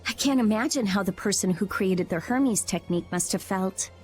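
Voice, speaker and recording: A woman's voice: medium pitch, moderate pace, close to an everyday voice. Actress as a blunt, brainy young researcher woman. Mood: analytical, pensive. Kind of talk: English game cutscene voice acting. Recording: music behind the voice.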